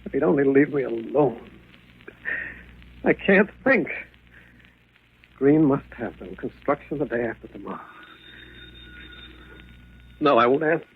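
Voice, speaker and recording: A man's voice: mid-range voice, animated, unhurried, long pauses, slightly rough. An actor playing a frightened, desperate hunted man in a 1940s radio drama, agitated and pleading. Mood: despairing. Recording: dull old 1940s radio recording.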